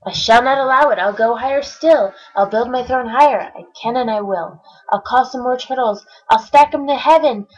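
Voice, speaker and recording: A woman voicing a boastful, bossy male turtle king who shouts his commands. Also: mid-range voice, moderate pace, highs cut off, close to an everyday voice.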